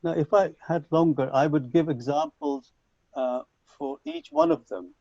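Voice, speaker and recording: A man whose first language is Punjabi, giving an online lecture to teachers in a measured, reflective way with frequent restarts, heard over a video call. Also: a little high, a little mellow, dry recording. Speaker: conference speaker. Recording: dull lo-fi video call audio.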